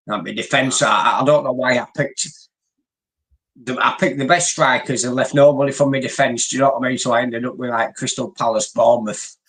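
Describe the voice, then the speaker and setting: A man's voice: medium pitch, fairly even intonation, fairly quick, fairly bright tone, poor audio. A man, bantering in a blunt, sweary, laddish way as co-host. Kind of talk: casual banter.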